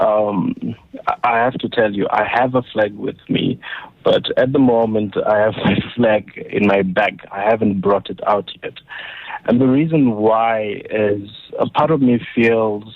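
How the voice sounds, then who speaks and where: A man's voice: on the low side, measured pace, no echo. A Zimbabwean man giving a hesitant, thoughtful comment as a caller to a phone-in, with a Zimbabwean accent. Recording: dull lo-fi phone call on radio.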